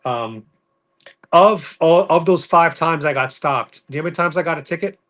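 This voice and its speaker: Male podcast guest from New York, bright and forward tone, level matter-of-fact delivery. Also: a little high, normal speed. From New York City. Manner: matter-of-fact, orderly storytelling. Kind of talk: casual podcast interview.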